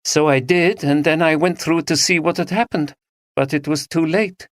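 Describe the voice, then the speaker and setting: A man voicing a calm, blunt female supervisor with an East European accent. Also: low voice, normal speed, no room sound, studio quality, voice pushed up, close to an everyday voice. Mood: curt.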